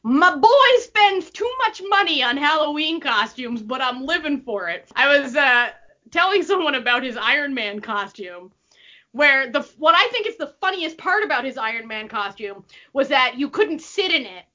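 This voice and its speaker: Animated high-voiced woman chatting. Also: moderate pace. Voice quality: says she has a pretty low voice. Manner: animated, squeals with excitement, laughs often. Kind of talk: casual chat. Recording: dull lo-fi recording.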